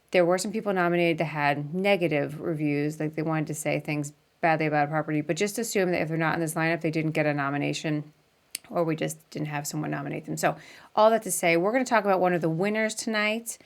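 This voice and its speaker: Chatty lower-voiced woman hosting. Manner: chatty, laughs often, apologetic at start. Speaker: podcast host. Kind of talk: casual live video interview.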